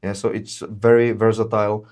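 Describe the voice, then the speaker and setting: Guy walking through a product demo, lots of uh's, steady explainer tone. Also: on the low side. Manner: frequent "uh" fillers, measured explanatory delivery. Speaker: webinar presenter, solution engineer. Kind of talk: explaining product features in a webinar.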